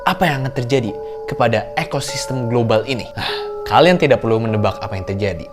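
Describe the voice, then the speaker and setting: A man's voice: medium pitch, quick pace, over music. A man hosting a horror reaction video, giving dramatic, suspenseful commentary with rhetorical questions and exclamations. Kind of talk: dramatic storytelling commentary to viewers.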